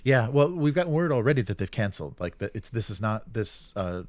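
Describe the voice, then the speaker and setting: A man hosting a casual live podcast, chatting in a halting way and often restarting his phrases. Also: fairly low pitch, very animated, no room sound. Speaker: podcast host.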